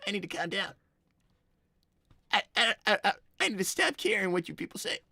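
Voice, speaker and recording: Exasperated young fox boy, cartoon played by an adult, bright and creaky, argumentative, stammering, close dry sound. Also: mid-range voice, very animated, a little fast, dry recording, exaggerated voice. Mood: agitated. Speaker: host of a live character stream. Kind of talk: improvised in-character chat, reading donations.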